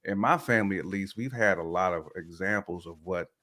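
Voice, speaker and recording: A man's voice: sits low, brisk, sharp tone. A man with a Southern American accent co-hosting a casual talk show, laid-back and slangy. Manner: laid-back, uses slang, says "like" often. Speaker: co-host. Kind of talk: casual podcast chat.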